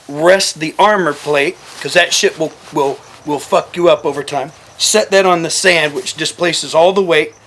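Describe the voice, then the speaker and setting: A man's voice: fairly high pitch, pushed and bright. A man telling stories casually to a group in a blunt, joking, heavily profane way. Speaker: firearms gear talk guest and former overseas contractor. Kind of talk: casual storytelling to a small group.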